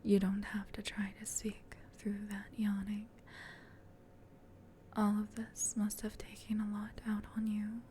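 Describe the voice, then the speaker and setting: A woman voicing a gentle, protective female demon in a soft, soothing whisper for an ASMR comfort roleplay. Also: mid-range voice, monotone, a little hiss or hum, lightly acted. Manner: soothing, tender, reassuring, unhurried.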